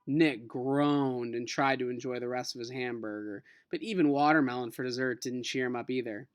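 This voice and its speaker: A man speaking as a teacher, reading a children's novel aloud and talking to students in an expressive, informal way. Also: mid-range voice, normal speed, clean tone, no room sound. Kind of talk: read-aloud narration mixed with casual lesson talk.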